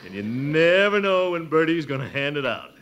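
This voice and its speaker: An actor playing a pompous, blustering middle-aged amateur detective in a 1940s radio comedy. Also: male voice, fairly high pitch, sing-song, normal speed, fairly bright tone, a little hiss or hum, poor audio. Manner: blustering, chuckling, self-important.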